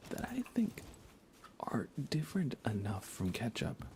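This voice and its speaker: An American man speaking in soft, breathy whispers, chatting casually and unhurriedly to viewers of an ASMR livestream. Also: medium pitch. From United States. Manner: hushed, unhurried, conversational.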